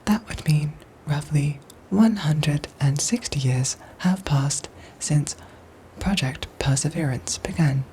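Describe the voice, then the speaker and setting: A woman playing a calm, protective android soldier in an ASMR audio roleplay, speaking softly and breathily in formal, measured, robotic phrases. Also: sits low, slight background noise. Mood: formal, matter-of-fact. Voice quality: soft, breathy, whispery.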